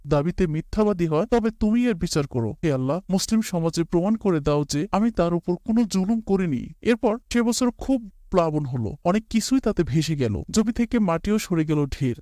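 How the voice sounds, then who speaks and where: An earnest, pious father in a moral cartoon, played by a man. His voice is high and mellow, recorded very dry. He admonishes and teaches in measured storytelling phrases. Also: lively intonation, no room sound.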